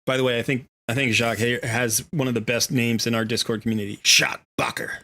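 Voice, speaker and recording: A man with a bright, forceful voice hosts a casual gaming livestream. He talks in a chatty, jokey way at an ordinary mid pitch. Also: fairly even intonation, quick pace. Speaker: livestream host.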